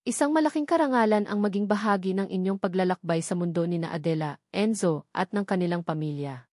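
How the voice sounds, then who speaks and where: A woman reading a scripted sentimental story in an earnest, measured storytelling manner. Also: fairly low pitch, a little mellow, no echo, clean studio sound. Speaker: story narrator.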